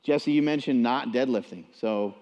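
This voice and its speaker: A man's voice: mid-range voice, moderate pace, darkish tone, dull audio. A man co-hosting a gym seminar, coaching lifters with casual, jocular encouragement. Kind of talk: casual banter and lifting encouragement. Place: large indoor gym with audience. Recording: noisy gym crowd, distant camera.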